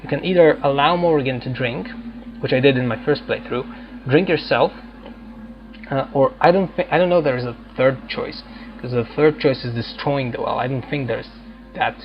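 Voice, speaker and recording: A man doing casual game commentary, thinking aloud in halting fragments as he plays. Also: mid-range voice, lo-fi sound. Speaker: gaming video host playing and commenting.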